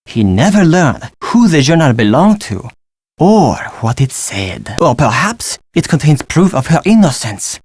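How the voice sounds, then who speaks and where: A man's voice: fairly high pitch, very animated, a little slow, a little mellow, breathy edge, dry recording, exaggerated voice. Theatrical young Frenchman, swooping delivery. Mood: storytelling, intent.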